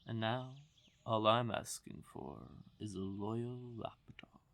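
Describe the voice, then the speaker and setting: A man voicing a smug, possessive fae king in an intimate roleplay audio drama, teasing and commanding with silky menace and drawn-out pauses. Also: on the low side, steady tone, gravelly, a bit bright, dry recording, full character voice. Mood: cold menace.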